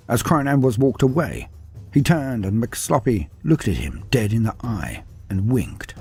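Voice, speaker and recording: A man narrating a scripted story in a dramatic, animated way. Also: medium pitch, slightly rough.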